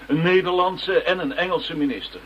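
A man's voice: a little high, moderate pace, slight background noise, lightly acted. An actor playing an earnest detective in a radio drama, defending his case firmly and insistently. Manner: firm, insistent. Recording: dull lo-fi radio drama recording.

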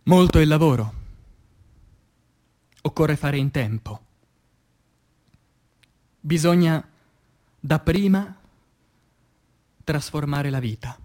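A man, an actor, reciting poetry in a declamatory, impassioned theatrical style that swings between hushed and loud. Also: fairly high pitch, slow, pauses often. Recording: music under the voice. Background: music.

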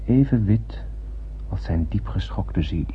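Eager young spaceman, awestruck and poetic radio-drama delivery, slightly low pitch, dull old recording. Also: animated, slow. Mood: awed, brooding. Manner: earnest, poetic, literary delivery. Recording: muffled radio play, clear background hum.

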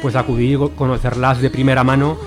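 Earnest halting male activist guest. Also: medium pitch, flat delivery, music in the background. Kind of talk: radio interview.

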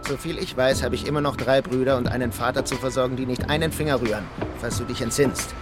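An actor playing a strict, exasperated, responsible brother in a German radio drama. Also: male voice, mid-range voice, fairly even intonation, fast, over music, lightly acted. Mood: annoyed.

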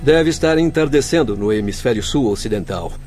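A man's voice: medium pitch, lively intonation, brisk, natural voice. Man acting a solemn, sorrowful mentor doctor. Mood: solemn, grieving. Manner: solemn, formal, declamatory. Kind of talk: radio drama acting. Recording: faint music behind. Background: soft background music.

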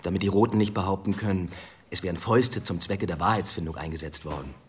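Man playing a brooding deep-voiced detective. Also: fast, lightly acted. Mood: bitter, agitated. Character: guilt-ridden, agitated male police detective. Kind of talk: German radio drama acting.

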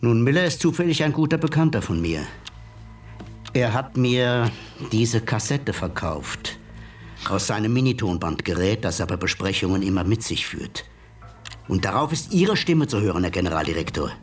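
An actor playing a cool, mocking male blackmailer in a radio play, smooth and unhurried. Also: on the low side, sing-song, recorded from a distance. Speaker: blackmailing hacker visitor. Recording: voice set back from mic, music behind.

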